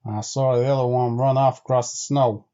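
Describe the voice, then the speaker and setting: A man voicing an uneasy, insistent sled-dog driver in a storybook, speaking gravely and deliberately in rustic, old-time phrases. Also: medium pitch, steady tone, mellow, lo-fi sound, lightly acted. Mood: stubborn, defensive. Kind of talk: storybook character voice.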